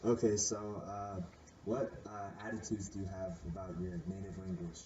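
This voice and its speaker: Male interviewer, deep, dark tone, hesitant, distant and dull recording with faint ambience. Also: steady tone, normal speed, a crowd in the background. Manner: hesitant, with fillers between questions. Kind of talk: casual interview questions. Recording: muffled voice from off the mic.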